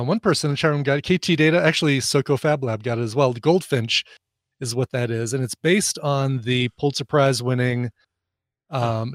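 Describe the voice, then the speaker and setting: A man's voice: medium pitch, dry recording, clean studio sound. A man co-hosting a chatty show and recommending a film, rambling, with lots of fillers and laughs. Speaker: podcast co-host and film recommender. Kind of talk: casual chat.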